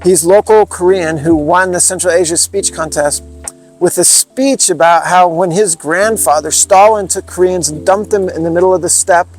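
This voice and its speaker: High-voiced American man, earnest. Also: normal speed, faint music behind, poor audio. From Montana, USA. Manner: earnest, explanatory, storytelling. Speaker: interview guest, speaking club founder. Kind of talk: relaxed conversational interview.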